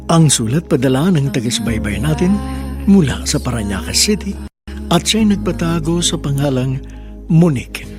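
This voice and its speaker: A man's voice: on the high side, very animated, normal speed. A Filipino man hosting a radio drama, delivering scripted narration in a theatrical, sentimental way. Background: music.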